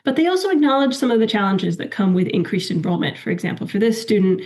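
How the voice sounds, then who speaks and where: A woman presenting evaluation results in a webinar. She is getting over a cold, so her voice is a little crackly, and she talks in a measured way with frequent ums and restarts. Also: medium pitch, sing-song, darkish tone.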